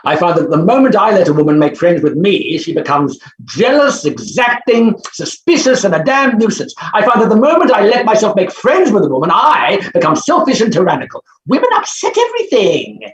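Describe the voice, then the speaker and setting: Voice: medium pitch, big pitch swings, normal speed, muffled recording, lightly acted. An actor playing an arrogant, blustering bachelor phonetics professor, with a pompous, theatrical and dismissive manner, in a staged play reading. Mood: exasperated musing. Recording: roomy, echoing sound.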